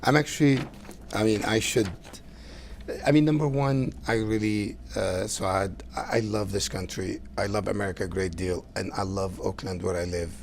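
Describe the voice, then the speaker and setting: A middle-aged man from Lebanon, speaking English, answering interview questions earnestly and haltingly, with many fillers. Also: lowish voice, fairly even intonation, vocal fry, a little hiss or hum. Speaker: guest, council candidate.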